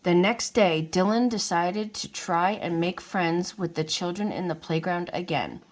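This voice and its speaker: Female storybook reader, lowish pitch, very unhurried, narrating for young children. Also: animated. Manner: unhurried, addresses young listeners. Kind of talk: read-aloud storybook narration.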